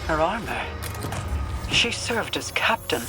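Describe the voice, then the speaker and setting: A woman's voice: low voice, big pitch swings, full character voice. Cold otherworldly queen, music behind. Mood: cold, ominous. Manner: measured, formal, oracular. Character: regal, cryptic otherworldly queen, cold and imperious. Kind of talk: scripted video game dialogue.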